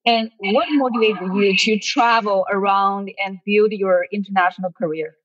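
Woman podcast host, direct questions, husky voice, dull lo-fi sound. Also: medium pitch, fairly even intonation, normal speed. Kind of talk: interview questions.

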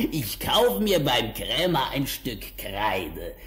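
Slow, scheming big bad wolf. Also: male voice, mid-range voice, big pitch swings, a bit bright, heavily acted. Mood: grumbling, scheming. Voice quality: rough, gruff, growling. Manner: scheming, menacing, theatrical. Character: sly, greedy, hungry big bad wolf. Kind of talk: German fairy-tale audio play acting.

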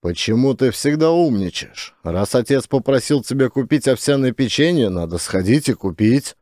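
A man's voice: medium pitch, expressive, normal speed, darkish tone, no room sound, close to an everyday voice. A man voicing a peevish, self-important middle-aged father in a satirical audio story. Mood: indignant. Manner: peevish, demanding.

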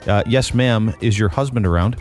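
A man's voice: on the low side, moderate pace, a little mellow, faint music behind. Brash male radio host. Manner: brash, mocking, swears freely. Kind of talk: crude morning radio banter.

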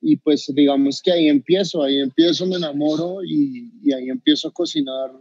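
Hesitant Bogotá chef on a dull call. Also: male voice, a little high, fairly quick, darkish tone, husky, no echo. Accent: Bogotá Colombian Spanish. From Colombia. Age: 30s. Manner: hesitant, many restarts and fillers. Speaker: chef guest. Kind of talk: video call interview. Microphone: video call webcam. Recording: dull dry video call audio.

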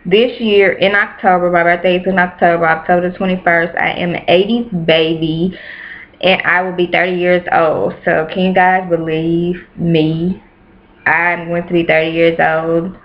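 A woman's voice: on the low side, level tone, normal speed. A woman in her late twenties chatting casually to camera in a small bedroom, repeating herself for emphasis. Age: 20s. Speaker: YouTuber. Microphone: webcam at close range. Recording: dull lo-fi webcam audio.

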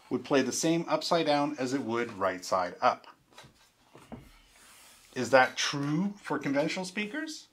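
A man in his fifties with a medium-pitched voice presents a product in a studio room. He explains step by step in an informal, conversational manner, stressing his points as he goes. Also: a bit bright. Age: 50s.

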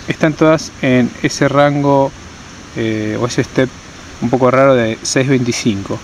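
Man explaining step by step, noisy background. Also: mid-range voice. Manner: step-by-step, repeats key terms. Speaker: tutorial presenter. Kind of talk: hands-on instructional explanation. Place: outdoors on stony ground.

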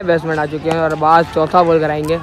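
A man's voice: a little high, completely flat delivery, fast, background noise. A man giving ball-by-ball commentary on an amateur street cricket match, calling each delivery in a repetitive set pattern, recorded outdoors on a phone.

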